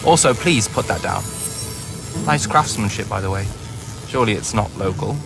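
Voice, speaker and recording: A man's voice: lowish voice, expressive, moderate pace, creaky, music in the background. A man playing a pompous, verbose and sarcastic battle mage in a video game cutscene. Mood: wry, airy. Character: glib, pompous, witty male battle mage.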